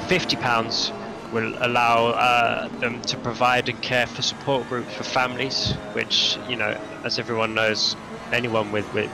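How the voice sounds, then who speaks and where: A man giving casual, halting live commentary on a sim race for a charity stream, full of restarts and fillers. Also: fairly low pitch, creaky, noisy background. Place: lives in Denmark.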